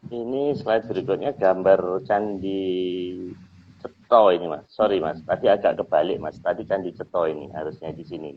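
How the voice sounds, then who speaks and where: A man with an Indonesian accent and a fairly deep voice hosts a casual chat. He is agreeable and keeps his remarks short. He sounds thin, as if on a phone line, with faint noise. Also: normal speed. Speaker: host running the slides. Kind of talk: casual conversation.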